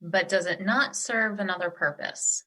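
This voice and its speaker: Woman acting as bright-voiced, wise earth spirit. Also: lowish voice, poor audio. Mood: reassuring, wise. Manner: formal, measured, reassuring. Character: wise, nurturing female earth spirit, calmly authoritative. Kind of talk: theatrical audio drama acting.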